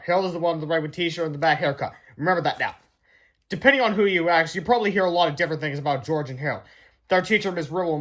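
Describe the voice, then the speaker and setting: A man narrating a children's book aloud in an expressive, emphatic storytelling way. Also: fairly high pitch. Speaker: read-aloud reader.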